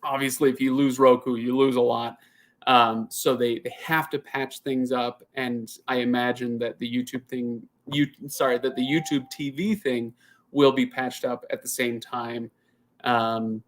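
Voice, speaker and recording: A man in his thirties hosting a casual live Q&A, chatting and thinking out loud as he answers viewer comments, often restarting his sentences. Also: medium pitch, steady tone, a little slow. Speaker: channel host.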